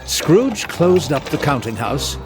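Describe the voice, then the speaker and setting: Lively, genial Christmas storyteller. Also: male voice, a little high, slow pace, music in the background. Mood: dramatic. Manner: confiding, dramatic. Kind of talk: theatrical storytelling narration.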